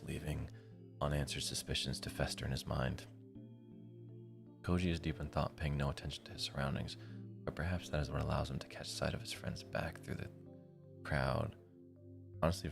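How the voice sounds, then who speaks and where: A man live streaming, reading a visual novel aloud in a deep, gravelly voice, with casual, slangy commentary. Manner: slangy, informal asides. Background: music.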